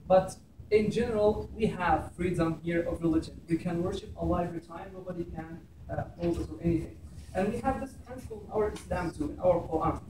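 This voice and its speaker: A man in his thirties with an Egyptian accent speaks quickly at a public talk, reciting scripture and then explaining it in English. He is recorded from far off in an echoey classroom, with steady background noise. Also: high voice. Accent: Egyptian Arabic. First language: Arabic. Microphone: far camera.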